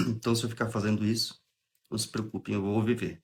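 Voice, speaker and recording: A young man with a Brazilian accent and a cold, clearing his throat now and then, hosting a relaxed spiritist study livestream, reading aloud and explaining in a chatty way. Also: on the low side, a little fast. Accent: Brazilian Portuguese. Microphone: phone or webcam on a livestream.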